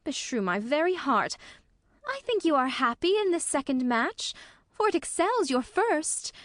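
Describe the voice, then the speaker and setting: A woman's voice: high-pitched, very animated, unhurried, fairly bright tone, no room sound, studio quality, exaggerated voice. A woman playing a chatty, practical nursemaid in a Shakespearean dramatic reading, gossipy and persuasive. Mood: coaxing, gossipy. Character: chatty, practical nursemaid coaxing her young mistress.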